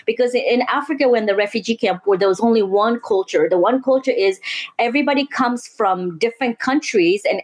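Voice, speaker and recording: Reflective woman. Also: medium pitch. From Mozambique. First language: Portuguese. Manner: earnest, reflective, frequent restarts and "you know". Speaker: guest. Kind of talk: candid interview conversation.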